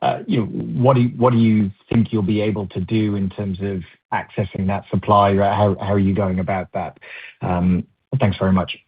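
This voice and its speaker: Husky, flat-voiced analyst on a phone line. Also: male voice, lowish voice, normal speed, dry recording. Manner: polite, hesitant, many fillers. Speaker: financial analyst. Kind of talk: earnings call question. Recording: dry phone-line audio.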